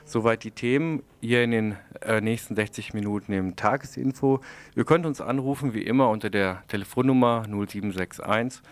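A man's voice: fairly low pitch, normal speed. A man presenting a radio news programme, reading announcements and reports in a studio.

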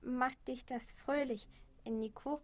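A woman playing a guileless, dreamy boy in a youth radio play, speaking in halting, earnest, simple phrases. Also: sits high, steady tone, darkish tone. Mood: gentle, hopeful. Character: guileless, dreamy mute boy who has found speech. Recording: long echoing reverb.

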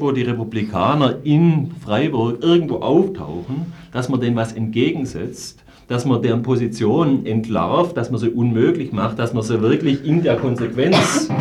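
A man's voice: mid-range voice, darkish tone. A man speaking spontaneously as an interview guest on politics, earnest and often searching for his words.

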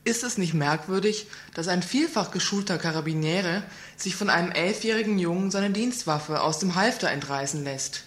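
A woman's voice: on the low side, moderate pace, hardly any pauses. A woman reading a scripted report on a community radio news program, asking pointed rhetorical questions.

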